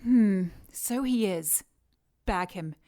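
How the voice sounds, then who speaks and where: An actress playing a cold, commanding leader of covert operatives in an audio drama, giving curt orders. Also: female voice, mid-range voice, slow, mellow, natural voice.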